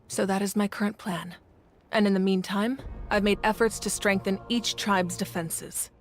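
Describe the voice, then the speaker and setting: Woman acting authoritative game leader, female, medium pitch, deliberate, serious, composed, music behind. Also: steady tone, lightly acted. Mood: composed, grave. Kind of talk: video game character acting.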